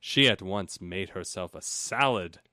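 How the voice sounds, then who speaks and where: A man's voice: low-pitched, a little slow, pushed and bright, clear, resonant tone, no echo, clean studio sound. A man reading a storybook as a comedy host, breaking off for casual, sarcastic asides. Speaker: host and narrator of parody audiobook show.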